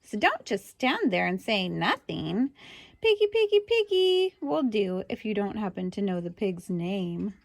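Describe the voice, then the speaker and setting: A woman's voice: mid-range voice, animated, a little slow, creaky, few pauses, no echo. A woman reading a children's book aloud expressively, adding chatty asides to young listeners. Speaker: storybook reader.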